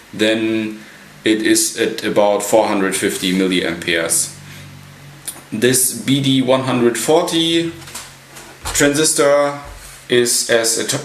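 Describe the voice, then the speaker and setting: A man giving an unscripted technical walkthrough as a hobbyist presenter, halting and pausing mid-sentence. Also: on the low side. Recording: roomy echo, light background noise.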